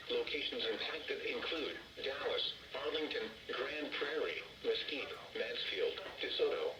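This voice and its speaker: A synthetic male text-to-speech voice reading an emergency weather warning bulletin in a formal, measured, impersonal manner over weather radio. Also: mid-range voice. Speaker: automated weather radio announcer. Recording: echoey weather radio broadcast.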